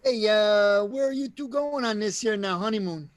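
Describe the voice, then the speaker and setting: Someone doing a nosy folksy taxi guy, old radio drama, drawn-out small town talk, dry audio. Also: mid-range voice, no echo, close to an everyday voice. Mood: curious, amused. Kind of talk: 1940s radio drama acting.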